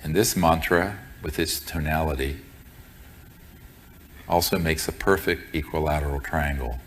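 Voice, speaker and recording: A man leading a group in guided meditation, speaking as a teacher in a solemn, deliberate and measured way. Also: a bass voice, slight background noise. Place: room with a group present.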